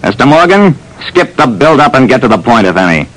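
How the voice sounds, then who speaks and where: A man playing a brisk, self-assured private detective who narrates an old radio drama. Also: medium pitch, very animated, a bit bright, low-quality recording. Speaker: narrator and lead. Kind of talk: 1940s radio acting.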